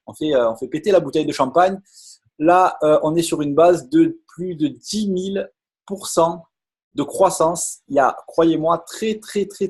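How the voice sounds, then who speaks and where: Guy hyping a product on a webinar, bit husky, kinda high voice, lots of euh and restarts. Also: a little mellow. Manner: enthusiastic salesy, many hesitations and repeated words. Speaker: online sales presenter. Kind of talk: live webinar sales pitch.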